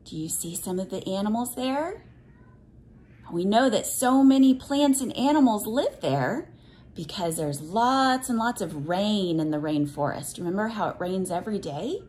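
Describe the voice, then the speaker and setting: A woman reading a picture book to young children and explaining it in simple words, with a sing-song, measured cadence. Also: mid-range voice, expressive, a little mellow. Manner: simple, instructive phrasing aimed at young children. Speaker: read-aloud teacher for young children.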